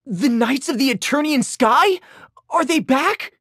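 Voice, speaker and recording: Earnest, expressive young game hero. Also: female voice, high voice, measured pace, no room sound, studio quality, full character voice. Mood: confused. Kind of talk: video game voice acting.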